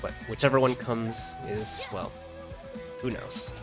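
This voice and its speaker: A man giving casual reaction commentary as a streamer, halting and often repeating his words. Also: mid-range voice, steady tone, music in the background.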